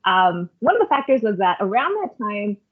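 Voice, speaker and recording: A woman's voice: medium pitch, very animated, normal speed. A woman, a podcast guest, chatting in a reflective way and telling stories with plenty of "like" and "um". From Canada. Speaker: podcast guest, worship leader. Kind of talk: casual conversational storytelling. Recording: phone-like call line.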